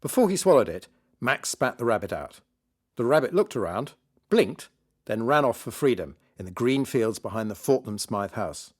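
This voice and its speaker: A man narrating a children's story in a lively, scripted read-aloud style. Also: mid-range voice, normal speed. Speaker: author reading his children's book.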